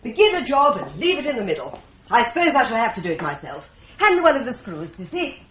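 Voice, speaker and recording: A crisp, decisive, sharp-tongued office worker in an old radio drama. Her voice is very animated. It sounds roomy, muffled and faintly hissy. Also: on the high side, quick pace. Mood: exasperated. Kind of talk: 1950s-style BBC radio drama.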